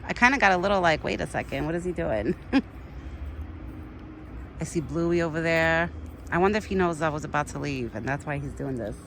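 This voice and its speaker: A woman's voice: expressive, brisk, long pauses, a bit bright, slight background noise. Chatty woman cooing at a deer. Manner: chatty, switches to high sing-song baby talk for the deer. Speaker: vlogger talking to a wild deer. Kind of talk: casual chatty vlog. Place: outdoors in a yard.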